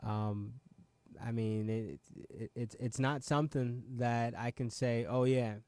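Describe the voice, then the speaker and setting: A man's voice: medium pitch, steady tone, slow pace, darkish tone, clear, resonant tone, dry recording. A man in his late twenties, originally from Ghana, hosting his own show and giving a motivational talk, pressing viewers with repeated rhetorical questions and frequent "right?" asides. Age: 20s. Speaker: show host.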